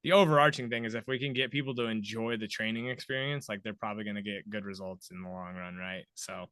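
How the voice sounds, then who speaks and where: A man's voice: on the low side, fast talker, pushed and bright, smooth, dry recording. A man in his thirties hosting a podcast, chatting informally and thinking aloud as he goes. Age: 30s. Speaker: podcast host. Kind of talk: casual conversational interviewing.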